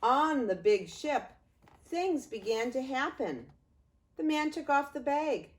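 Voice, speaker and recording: An older woman, a grandmother, reading a children's story aloud in an expressive way, drawing out words and pausing. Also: high-pitched. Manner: expressive, with drawn-out words and pauses.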